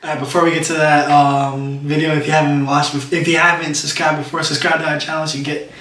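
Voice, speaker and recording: A man's voice: fairly high pitch, level tone, fast, fairly bright tone. Man co-hosting casually from across an echoey room. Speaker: YouTube reaction channel co-host. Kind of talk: casual reaction chat. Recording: roomy echo, distant from mic.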